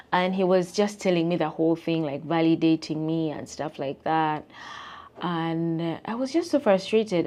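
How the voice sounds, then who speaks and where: A young woman with a Ugandan English accent, ranting animatedly to camera in a casual vlog and mimicking people in squeaky voices. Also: a little mellow. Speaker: vlogger. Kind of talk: casual chat rant to camera.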